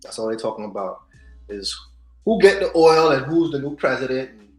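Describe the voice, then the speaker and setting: A male co-host chatting casually about the week's news in a mid-pitched voice, getting loud and hyped one moment and dropping to soft asides the next. The room sounds echoey, with faint music playing underneath. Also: brisk. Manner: animated, swings between loud hype and soft asides. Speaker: podcast co-host. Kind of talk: casual chat on news and culture.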